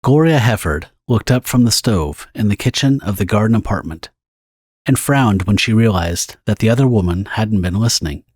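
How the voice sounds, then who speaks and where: A man reading scripted, dramatic story narration for an audio drama in a husky voice. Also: fairly low pitch, animated, normal speed, darkish tone, no room sound, studio quality.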